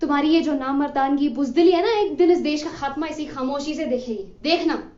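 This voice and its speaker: A muffled, echoey room recording of a very high voice: a woman playing a condemned revolutionary man in a stage monologue. He is bitter and scornful, declaiming accusations and sarcastic commands at an apathetic crowd. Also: fairly quick, a little mellow, natural voice. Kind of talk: theatrical dramatic monologue.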